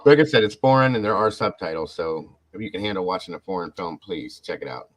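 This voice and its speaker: A man hosting a casual podcast, rambling and animated, talking to viewers in the chat. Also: low voice, fast. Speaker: podcast host.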